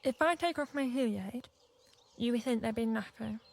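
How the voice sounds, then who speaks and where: A woman playing an introspective deaf girl, giving a measured, reflective inner monologue in a radio drama. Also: a little high, vocal fry, a little hiss or hum. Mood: pensive. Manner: measured, pensive.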